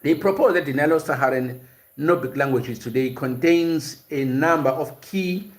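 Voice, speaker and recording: A man's voice: on the high side, moderate pace. A man hosting a live-streamed language and culture lesson, explaining in a hesitant way with frequent fillers and repeated words, and answering viewers' comments. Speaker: host of a language and culture series.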